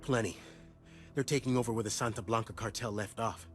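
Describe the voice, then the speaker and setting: An actor playing an earnest, informal CIA contact in a video game cutscene. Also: male voice, fairly low pitch, brisk, fairly bright tone, soft background music, lightly acted. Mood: eager, determined. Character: grateful, earnest rescued male CIA intelligence contact.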